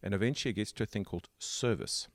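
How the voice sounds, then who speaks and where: Low-voiced South African podcast host. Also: male voice, no room sound, clean studio sound. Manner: explains at length with pauses and fillers. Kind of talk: casual tech podcast chat.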